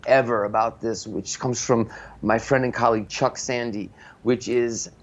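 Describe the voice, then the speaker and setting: A man's voice: medium pitch, creaky, highs cut off. A man co-hosting a live English-teaching show, explaining things in an encouraging, emphatic way.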